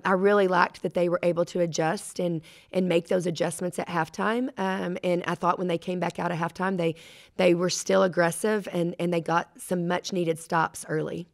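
Brief: middle-aged female coach, low-pitched, halting press answers at a desk mic. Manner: measured, many ums and restarts. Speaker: head basketball coach. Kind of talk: post-game press conference answers. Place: press room at a table. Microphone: desk mic on a stand.

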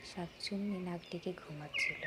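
A woman's voice: fairly low pitch, brisk, very noisy. A woman narrating a scripted folk tale for a cartoon.

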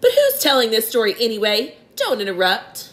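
A woman's voice: fairly high pitch, huge pitch swings, normal speed. A woman voicing a boastful, cheeky male centipede in a storybook reading. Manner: loud, gleeful and boastful.

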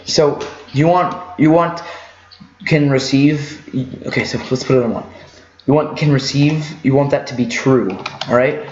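Male gaming tutorial host, slow and halting, thinking aloud in a roomy, echoey space. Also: mid-range voice, a little mellow. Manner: halting, rambling, thinks aloud while working. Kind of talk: casual unscripted game walkthrough commentary. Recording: muffled lo-fi mic in echoey room.

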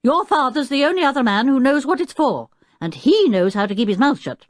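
A woman's voice: mid-range voice, big pitch swings, moderate pace, no echo, studio quality, voice pushed up. Woman acting a blustering, irascible male professor. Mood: stern, fierce. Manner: blustering, gruff, emphatic. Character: irascible, absent-minded middle-aged male professor, roaring and blustering.

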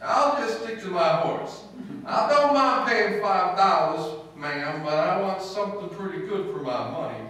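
A folksy radio-comedy cowboy, put on by a man, with a hearty mock Western accent. The voice is raised high and swoops about brightly, heard across a very echoey room. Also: lively intonation, measured pace. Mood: folksy, stubborn. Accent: mock American Western. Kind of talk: old-time radio comedy acting. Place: stage in a hall before a seated audience. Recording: very echoey room, distant camera microphone.

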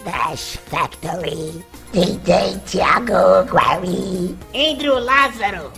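Casting: woman announcer, very animated, reading supporter names over soft music. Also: fairly low pitch, extremely slow, gravelly. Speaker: credits announcer.

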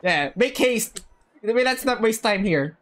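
A woman's voice: on the high side, animated, unhurried. A woman giving casual live game commentary as a streamer, playful and slangy. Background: game music.

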